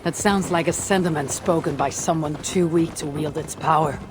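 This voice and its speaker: A woman's voice: low-pitched, normal speed, close to an everyday voice. An actress playing a proud, blunt Viking woman warrior with a Scandinavian accent in a video game cutscene. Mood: scornful, dry. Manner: terse, blunt, dryly scornful.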